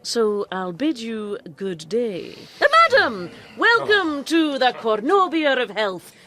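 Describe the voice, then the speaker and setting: A flamboyant female impostor doctor in a period radio play. She talks in grand, self-assured patter, swinging freely up and down in pitch. She is quick-witted and persuasive. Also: big pitch swings, background noise. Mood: pompous, smooth. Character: woman posing as a flamboyant, quick-witted male quack doctor. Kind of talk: radio drama acting and narration.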